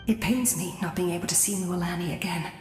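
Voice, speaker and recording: A woman's voice: lowish voice, a little fast, darkish tone, echoey room, soft background music, close to an everyday voice. A woman voicing a selfless, dutiful warrior mentor in a video game, earnest and grave. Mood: sorrowful, proud.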